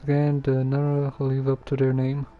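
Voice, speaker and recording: A man streaming a game casually, hesitant and halting as he talks and reads text aloud. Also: medium pitch, monotone. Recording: muffled lo-fi microphone.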